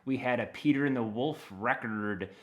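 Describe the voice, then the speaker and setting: A man's voice: mid-range voice, moderate pace. A middle-aged American man talking casually as an interview guest, explaining things in a rambling, think-aloud way. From Maine, USA. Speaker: interview guest, audio dramatist.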